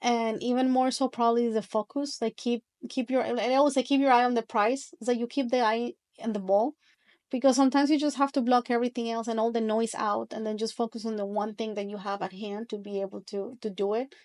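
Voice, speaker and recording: A woman's voice: on the high side, steady tone, fairly quick, darkish tone. A middle-aged woman from Colombia, a podcast guest telling her story in an earnest, reflective way with frequent restarts.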